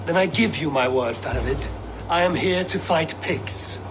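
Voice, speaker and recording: An actor playing a proud, battle-ready Viking raider in a video game, terse and defiant. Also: male voice, on the high side, normal speed, background noise, natural voice. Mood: firm, proud. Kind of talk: video game cutscene acting.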